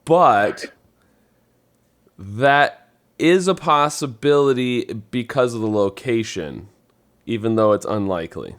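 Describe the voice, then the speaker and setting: A man's voice: medium pitch, animated, slow pace, long pauses, smooth. Male puzzle host, mid-range voice, very varied intonation, hesitant phrasing, conversational. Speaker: puzzle master on a podcast. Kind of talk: casual chat, giving clues.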